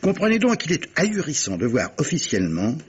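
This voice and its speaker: Suave, animated gentleman sleuth. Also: fairly high pitch, fairly quick, breathy edge, full character voice. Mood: animated, teasing. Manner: courtly, theatrical, savouring his words. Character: urbane, clever gentleman captain and amateur sleuth, suavely teasing. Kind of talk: 1950s French radio mystery acting. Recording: muffled vintage radio recording, faint noise.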